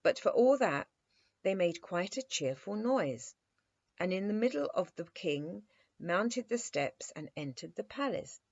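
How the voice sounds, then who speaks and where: A woman's voice: mid-range voice, animated, measured pace, dull audio. A woman storyteller narrating a children's bedtime story expressively.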